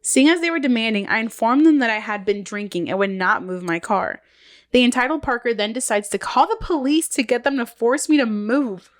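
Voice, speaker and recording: A woman's voice: a little high, a little fast. A woman co-hosting a podcast, casually reading revenge stories aloud and laughing often, sounding nasal from a cold. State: nasal, getting sick. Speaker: podcast co-host.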